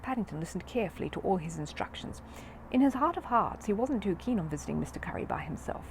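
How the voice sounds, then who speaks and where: A woman reading a children's story aloud in an expressive narrating style. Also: mid-range voice, fast, a little hiss or hum, rough recording. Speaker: audiobook reader of a children's book.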